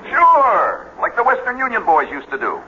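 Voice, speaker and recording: An American bantering, affectionate leading man in an old radio drama. His delivery is breezy and teasing, with lively swings of pitch. The sound is muffled, with slight noise. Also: normal speed, exaggerated voice. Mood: playful, affectionate. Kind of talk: 1940s-50s radio drama acting.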